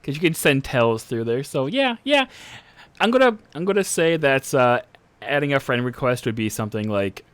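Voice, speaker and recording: A man's voice: medium pitch, very animated, moderate pace, a bit bright, smooth, no echo. A man running a tabletop game as game master, casual and laughing easily.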